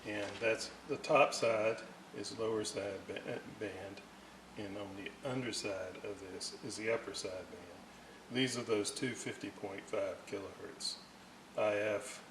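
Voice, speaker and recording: A man with a fairly low voice talks very slowly over a faint background noise. He explains technical work step by step, unscripted, pausing to search for words. His delivery is methodical and halting. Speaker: radio restorer presenting repair videos.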